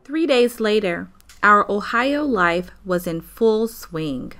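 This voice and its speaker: A woman's voice: medium pitch, animated, slow, a bit bright, a little hiss or hum. An American woman playing a narrator who looks back fondly on childhood in a radio play. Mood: nostalgic. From United States.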